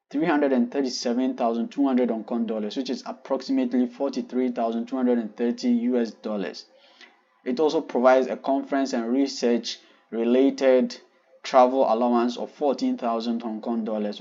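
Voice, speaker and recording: A man's voice: mid-range voice, warm tone, muffled recording. Young Ghanaian man, vlog host; polite and earnest, explains details patiently. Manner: polite, earnest, walking viewers through details step by step. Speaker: channel host.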